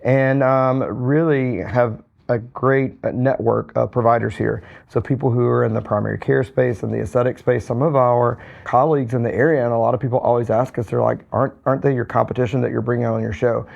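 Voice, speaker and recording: A hoarse man recovering from a cold, co-hosting a podcast in a relaxed, rambling way. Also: mid-range voice, fairly quick, few pauses. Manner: relaxed, rambling with many fillers and restarts. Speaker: podcast co-host, physician. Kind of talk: casual podcast chat. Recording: slightly dull lo-fi sound.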